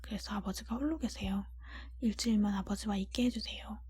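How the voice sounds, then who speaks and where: A woman voicing a kind-hearted, honest young storybook heroine in a soft breathy whisper, earnest and polite. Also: medium pitch, brisk, no echo. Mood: pleading.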